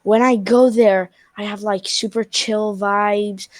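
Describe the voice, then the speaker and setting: A woman's voice: medium pitch, steady tone, slow pace, no echo. Chatty young girl on a video call. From Spain. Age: young, about 12. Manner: chatty, full of "like" and restarts. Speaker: stranger on a video chat. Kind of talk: casual video chat.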